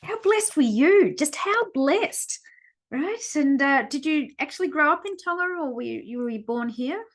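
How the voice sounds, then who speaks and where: A woman's voice: sits high, animated, normal speed. A woman hosting a podcast interview, effusive and encouraging toward her guest. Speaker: podcast host. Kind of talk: casual interview chat.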